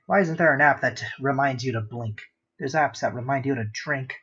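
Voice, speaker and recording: A man chatting casually and rambling half to himself as he hosts a live drawing stream, with a husky voice. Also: mid-range voice, moderate pace, fairly bright tone, dull audio. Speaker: art livestream host. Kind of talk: casual chat while sketching.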